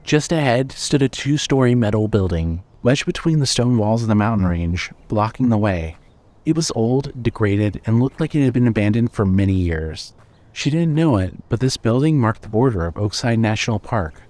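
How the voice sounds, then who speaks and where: A man narrating a scripted story in a measured, suspenseful way. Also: lowish voice, animated, darkish tone.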